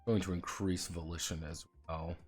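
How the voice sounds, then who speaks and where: A man's voice: deep voice, level tone, moderate pace, breathy edge, soft background music. A man streaming as he designs a tabletop game, explaining rules casually and muttering to himself while he works out the numbers. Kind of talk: casual thinking-aloud explanation.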